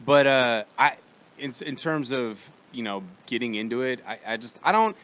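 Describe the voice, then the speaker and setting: A man hosting a comedy podcast, chatting in an animated, rambling way with casual swearing in a studio. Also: mid-range voice, moderate pace. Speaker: podcast host.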